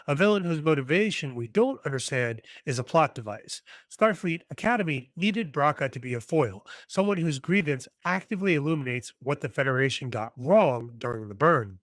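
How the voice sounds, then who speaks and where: Man, slightly high voice, scripted video essay, wry, critical, articulate, dry room-free sound. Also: a bit bright, no echo. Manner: wry, self-deprecating humor. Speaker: video essayist, TV episode reviewer.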